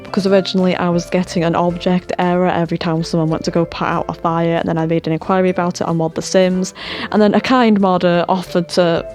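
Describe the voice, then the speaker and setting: A woman's voice: lowish voice, little pitch movement, fast talker, darkish tone, faint music behind. A woman chatting casually and rambling about game mods, her voice tiring and her throat sore. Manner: chatty, self-deprecating, trails off into fillers. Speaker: gaming channel host.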